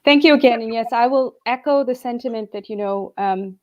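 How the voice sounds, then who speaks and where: A woman's voice: fairly high pitch, brisk, lo-fi sound. A woman raised between Bangladesh and the US, speaking earnestly on a video call as a guest and recounting her childhood with frequent fillers and restarts. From Bangladesh, raised partly in the US. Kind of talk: reflective personal storytelling.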